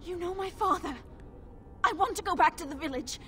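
An actress playing a frightened, timid young castle maid in a fantasy video game, pleading hesitantly in a trembling voice. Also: female voice, very high-pitched, a little fast, sharp tone, slight background noise. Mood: anxious. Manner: tremulous, hesitant, breathless pleading.

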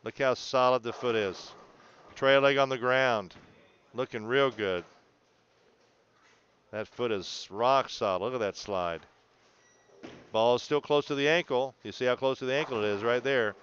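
A male sports coach talks a student through video footage in a smooth, clear voice at a medium pitch. He explains step by step and encourages as he goes, often checking for understanding. The sound is a little dull and lo-fi. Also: measured pace, long pauses, a bit bright. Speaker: bowling coach. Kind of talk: instructional video analysis, coaching a student one to one.

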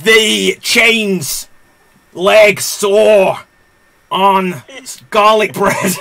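A menacing horror villain speaks in short, clipped threats, as a man puts him on in an improv game. The voice is pushed noticeably higher and grows loud and strained. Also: steady tone, extremely slow, vocal fry, heavily acted. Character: menacing male horror villain serving pizza.

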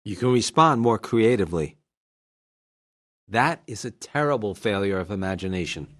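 A man acting a calm sixty-year-old doctor and yogi in a radio drama, collected and reasoning. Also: lowish voice, normal speed, lightly acted.

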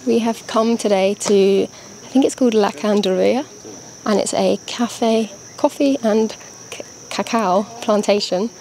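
A woman's voice: medium pitch, steady tone, measured pace, warm tone, slight background noise. Chatty young woman vlogging outdoors. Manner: chatty and enthusiastic. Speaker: travel vlogger. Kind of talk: casual travel vlog. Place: outdoors in a hilltop tropical garden. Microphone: handheld mic.

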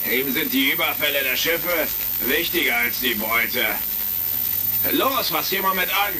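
A man's voice: a little high, measured pace, natural voice. An actor playing a shifty, hesitant space pirate henchman in a retro sci-fi radio play. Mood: offhand. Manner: hesitant, offhand. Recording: distant, noisy crackling sound.

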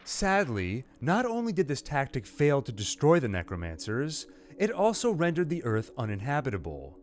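A grave android mother from a science fiction comic, as a male narrator puts her on. She speaks formally and ominously, with measured warnings and a pitch that rises and falls widely. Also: huge pitch swings.

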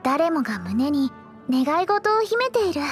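A sweet teenage girl healer from an anime game. Her voice is extremely high and girlish, with lively, swooping intonation. She speaks politely and earnestly, cheerfully encouraging, over prominent background music. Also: a little slow. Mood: dreamy, earnest. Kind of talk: anime game character voice lines.

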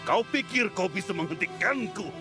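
A man voicing a gloating, menacing evil sorcerer with a gravelly voice in a children's cartoon. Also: high-pitched, lively intonation, normal speed. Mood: gloating menace. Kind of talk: children's cartoon villain dubbing. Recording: loud music behind the voice.

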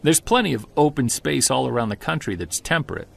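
A man's voice: mid-range voice, lively intonation, fairly quick, creaky. A man playing an earnest investigator in an audio drama interview, speaking thoughtfully with some hesitation. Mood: earnest, curious.

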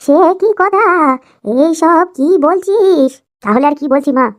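A woman's voice: very high voice, a little slow, darkish tone. A woman voicing a boastful, scheming old village mother, drawling and scolding in rustic dialect for a cartoon.

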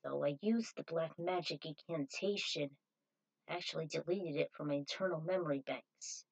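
Male robot, read by a woman, storybook drama: grave, matter-of-fact, slightly lowered pitch. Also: moderate pace, vocal fry, a little mellow, poor audio.

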